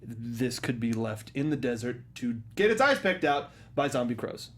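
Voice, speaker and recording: A man's voice: mid-range voice, very animated, normal speed, a bit bright. A man hosting a casual movie review chat, explaining things in a jokey way. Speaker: talk show host.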